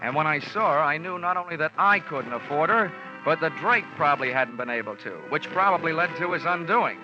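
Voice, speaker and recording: A sardonic, hard-boiled investigator, voiced by an actor in a vintage radio play, delivering dry wisecracks in steady narration. The recording is dull and lo-fi with background music underneath, like an old broadcast. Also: male voice, on the high side, a little fast, lightly acted. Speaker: narrator and lead detective. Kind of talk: 1950s radio drama acting.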